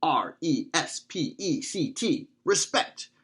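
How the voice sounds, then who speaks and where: A man voicing a playful, excitable young boy student in a children's storybook read-aloud. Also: fairly high pitch, slow, husky, heavily acted. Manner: eager, playful.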